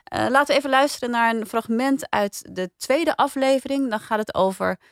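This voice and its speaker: A woman's voice: on the high side, moderate pace, dry recording, studio quality. A woman with a Dutch accent hosting a podcast in casual review chat. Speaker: podcast host.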